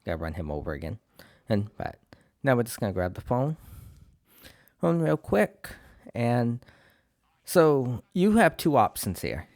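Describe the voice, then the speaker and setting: A man with a New England accent and a slight lisp, talking through a video game mission in a relaxed, rambling way while fighting a cold. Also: mid-range voice, unhurried, long pauses, no echo, clean studio sound. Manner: relaxed and rambling, many "uh"s and restarts. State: has a cold, clearing his throat. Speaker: game guide creator. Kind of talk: casual gameplay walkthrough commentary.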